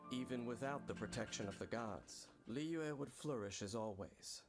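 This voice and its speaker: A man reading scripted promotional narration for a video game in a measured, dramatic storytelling manner. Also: medium pitch. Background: prominent game trailer music.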